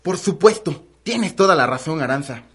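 A woman's voice: deep voice, lively intonation, moderate pace, breathy edge. A woman with a Mexican accent playing a superstitious, gossipy household servant in a melodramatic radio soap opera. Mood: confiding.

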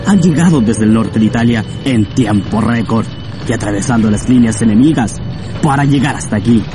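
An actor playing a loyal, deferential general in a radio drama, speaking formally and earnestly. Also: male voice, fairly low pitch, brisk, over music, lightly acted. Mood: earnest, respectful. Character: General Monke, loyal devoted military officer addressing his leader.

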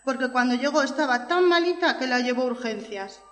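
A woman's voice: high-pitched, brisk, lightly acted. A woman playing a cheerful young schoolchild in a scripted audio story for children. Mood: cheerful, eager. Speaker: preschool teacher. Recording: echoey reverberant room.

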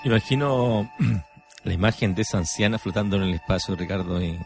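A middle-aged or older man hosting radio in a reflective, halting chat, often seeking agreement. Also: lowish voice, vocal fry, music in the background. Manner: halting, seeks agreement with tag questions. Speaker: radio host.

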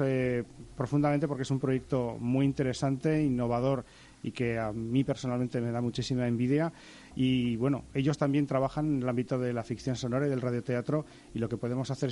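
A man's voice: mid-range voice, dark tone. A man with an accent from Spain presenting live radio as host. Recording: faint background noise.

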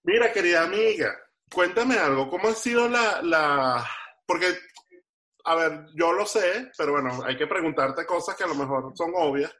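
A man's voice: on the high side, animated, moderate pace, sharp tone. A man hosting a casual interview, stumbling over his phrasing as he asks questions. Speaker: interviewer host.

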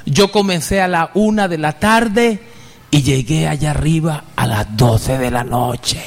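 Fervent Venezuelan-born pastor preaching. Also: male voice, on the high side, measured pace, gravelly, long reverb tail. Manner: fervent, repeatedly addresses listeners as brother. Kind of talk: preaching sermon with testimony.

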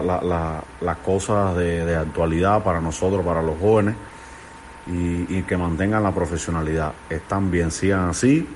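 Young male listener, eastern Cuban accent, lowish voice, halting, slightly noisy voice message. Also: a little mellow. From Santiago de Cuba. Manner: halting, repeating words. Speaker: listener sending an audio message.

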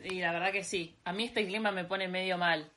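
A woman's voice: on the low side, steady tone, a little fast, pushed and bright, slight background noise. A woman in her twenties with a Rioplatense Argentine accent, chatting as a podcast co-host in a wry, self-deprecating, playful way. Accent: Rioplatense Spanish. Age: 20s. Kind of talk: casual chat between friends.

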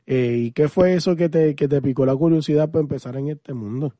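A Puerto Rican man hosts a podcast with an informal, colloquial delivery. His voice is relaxed and mellow, sitting a little high for a man. The sound is close and dry. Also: fairly quick, dry recording. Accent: Puerto Rican Spanish. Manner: informal, colloquial greetings and address. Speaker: podcast host. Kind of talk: casual podcast interview.

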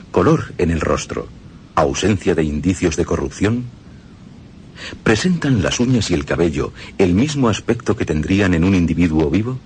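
Male radio reader. Deep, formal, measured, with animated intonation. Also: slight background noise. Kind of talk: scripted radio reading.

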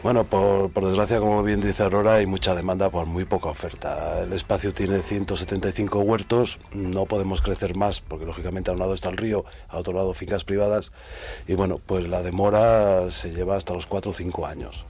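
A man explains the running of a public gardens project on the radio. His voice is very deep and he talks quickly. His explanations are brisk and matter-of-fact. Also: steady tone, a little mellow. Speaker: manager of the city gardens. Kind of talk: radio interview guest.

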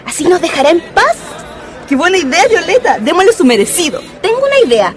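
A woman doing a sly young woman plotting payback, high voice, radio play over faint music. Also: sing-song, a little fast. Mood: scheming. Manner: scheming, pointed. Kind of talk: community radio drama acting.

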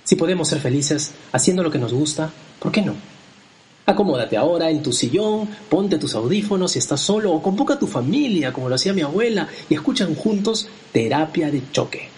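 A man's voice: fairly high pitch, a little mellow, a little hiss or hum. A man host and director introducing a radio play in a heartfelt, scripted way.

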